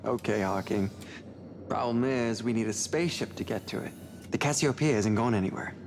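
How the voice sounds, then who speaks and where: An actor playing a sardonic, pessimistic space crewman with a gravelly voice in a sci-fi game. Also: fairly low pitch, moderate pace, noisy background, natural voice. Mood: sardonic, blunt. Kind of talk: sci-fi game dialogue acting.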